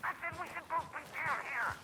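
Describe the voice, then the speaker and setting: A man playing a cranky, blunt, blundering small-town deputy in a video game, calling from a distance. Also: brisk. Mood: cranky, flustered. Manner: blunt, loud-mouthed, cranky. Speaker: sheriff's deputy partner. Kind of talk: comic-relief video game acting. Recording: distant voice, faint noise and rumble.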